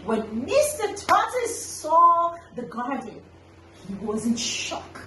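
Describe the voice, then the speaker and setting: High, animated woman storyteller, echoey room. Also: takes it slowly. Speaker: children's storyteller and host. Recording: echoey room, distant mic, faint noise.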